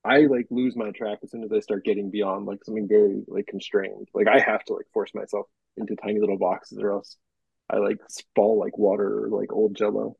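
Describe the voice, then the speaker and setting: Gravelly, husky man chatting casually. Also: lowish voice, little pitch movement, brisk, no echo, narrow, tinny audio. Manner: rambling, self-deprecating, many "like" fillers. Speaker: guest horror writer. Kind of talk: casual podcast chat.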